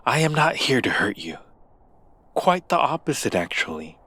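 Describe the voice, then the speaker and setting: A man playing a seductive, obsessive demon prince in human form, speaking slowly and deliberately with menacing tenderness in an ASMR roleplay. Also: medium pitch, sing-song, bright, forceful tone, husky, no echo, exaggerated voice. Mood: smooth, reassuring.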